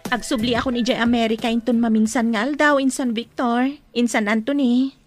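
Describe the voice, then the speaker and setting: A woman's voice: fairly high pitch, steady tone, brisk, faint music behind, natural voice. A woman with an Ilocano accent playing an affectionate aunt visiting from abroad in a radio drama. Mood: fond, farewell.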